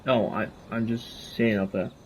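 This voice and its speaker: A man's voice: on the low side, steady tone, moderate pace, noisy background. A man reacting casually on a live game stream, exclaiming and muttering asides. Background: game music.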